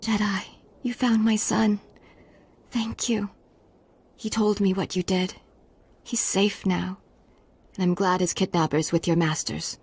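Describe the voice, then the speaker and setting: A woman's voice: mid-range voice, steady tone, unhurried, pauses often, a little mellow, breathy edge. A woman voicing an earnest, solemn village mother in a video game. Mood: grateful, solemn. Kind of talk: video game character acting. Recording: game music behind voice.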